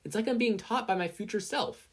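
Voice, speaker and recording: A podfic reader voicing an eager, excitable teenage boy who is training to be a hero. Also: mid-range voice, fairly quick, voice pushed up, close to an everyday voice. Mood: excited.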